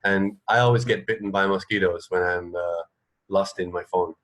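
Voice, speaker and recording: A man co-hosting a radio show, chatting casually in an informal, halting way. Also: low voice, steady tone, fairly bright tone. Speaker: radio co-host. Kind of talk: casual chat.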